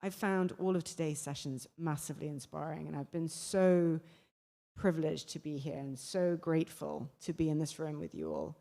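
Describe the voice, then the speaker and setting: A woman's voice: sits low, lively intonation, normal speed, creaky, warm tone, in a large hall. A woman giving earnest panel remarks, often repeating words as she starts her phrases.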